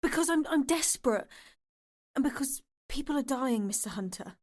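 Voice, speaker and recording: An actress playing an earnest, desperate young woman in an audio drama, hesitant and excitable. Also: high-pitched, moderate pace, no room sound. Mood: anxious, pleading.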